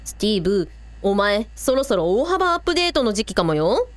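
A woman's voice: medium pitch, very animated, a little fast, no room sound, natural voice. A woman playing a frank, confident older sister in a radio drama, blunt and casual but able to turn formal. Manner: blunt, casual, formal when addressing royalty.

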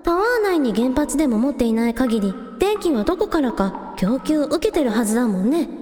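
A woman's voice: high voice, very animated, a little fast, warm tone. A woman playing a determined, plain-spoken young village idol heroine, casual and questioning, in a radio drama. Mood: curious, decisive. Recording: echoey hall reverb, faint music behind.

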